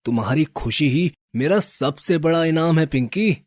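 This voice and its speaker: A man playing a kindly, generous toy shop keeper in a children's story, speaking in a gentle, reassuring way. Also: fairly high pitch, expressive, unhurried, no room sound, clean studio sound.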